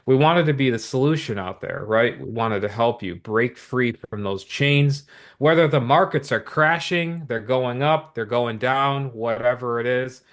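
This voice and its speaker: A man presenting a business opportunity in an upbeat, motivational pitch to livestream viewers, talking with lots of repetition and quick asides. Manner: energetic, repetitive motivational patter with many asides.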